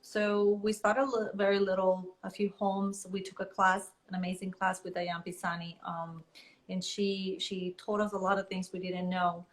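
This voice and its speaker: A woman from Colombia, a guest business owner, talking earnestly in a casual interview with fillers and pauses. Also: medium pitch, flat delivery, rough recording.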